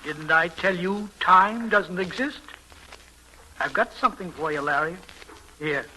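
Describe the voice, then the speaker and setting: Slow, high-voiced mysterious old man. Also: bright, forceful tone, slight background noise, heavily acted, low-quality recording. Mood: gentle, mysterious. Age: older. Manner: drawling, unhurried, cryptic. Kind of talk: 1940s radio acting. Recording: vintage radio recording, music behind.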